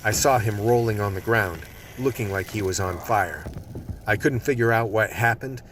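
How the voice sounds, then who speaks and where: A man's voice: on the low side, moderate pace, a bit bright, distant mic, a little hiss or hum, lightly acted. A man playing a tough, gun-toting action hero who narrates his story in a gritty, colloquial radio play style. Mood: gritty recounting. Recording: music bed under the voice.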